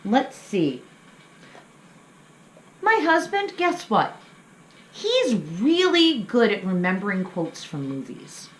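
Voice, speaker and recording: Echoey, lively woman teaching children. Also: medium pitch, slow pace, long pauses. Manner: simple, encouraging explanations aimed at young children. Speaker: children's story time host. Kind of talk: children's craft lesson, talking to kids. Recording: echoey room with faint background noise.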